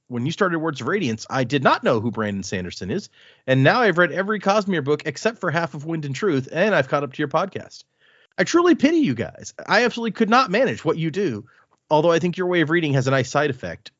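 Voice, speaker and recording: Lively male podcast host reading mail. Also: a little high, quick pace, no room sound. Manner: reads with lively asides and comments between lines. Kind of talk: reading a listener email aloud on a podcast. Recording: dry, slightly dull lo-fi sound.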